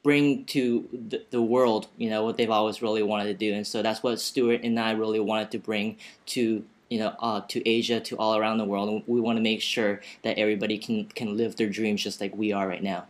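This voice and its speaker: A man's voice: on the low side, level tone, fairly quick, few pauses. Male co-host, first language Chinese, earnest and rambling delivery on a video call. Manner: earnest, many restarts and fillers. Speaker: seminar co-host. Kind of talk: casual video-call promo chat.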